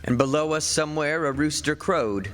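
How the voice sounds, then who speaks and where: A man's voice: fairly high pitch, normal speed, lightly acted. An actor playing a witch's centuries-old husband, a learned, matter-of-fact man who tells his story wryly and conversationally in an old-time radio drama. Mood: wry, reminiscing. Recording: reverberant stage hall, faint music.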